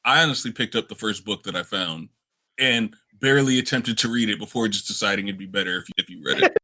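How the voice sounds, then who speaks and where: A man's voice: lowish voice, quick pace, bright, forceful tone. A man bantering casually in character as a player in a tabletop role-playing game.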